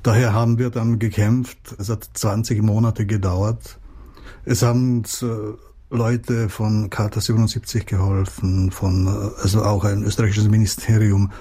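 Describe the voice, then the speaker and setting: Middle-aged Czech-born man, Czech accent, dark mellow voice, halting interview answers. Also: lowish voice, level tone, breathy edge. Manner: hesitant, many fillers and restarts. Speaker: writer, interviewee.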